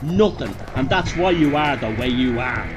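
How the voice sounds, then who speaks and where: A man's voice: mid-range voice, expressive, normal speed, lightly acted, low-quality recording. A man voicing a defiant Dublin underdog in a told story, boastful and indignant, declaiming scornfully at those who mock him. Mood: furious. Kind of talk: dramatic storybook character voice.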